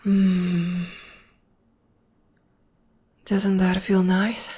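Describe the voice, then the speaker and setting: A young woman voicing a gentle, devoted witch girlfriend in an ASMR comfort roleplay, speaking softly and often in a whisper. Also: medium pitch, completely flat delivery, very slow, breathy edge. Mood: tender, soothing. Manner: soft, soothing, often whispering. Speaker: voice actor.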